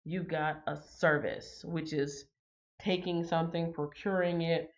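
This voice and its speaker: Woman, livestream host, low voice, slightly stuffy, chatty with ums, dull lo-fi sound. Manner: chatty, reads out viewer comments, frequent ums. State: slightly congested. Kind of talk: casual livestream chat.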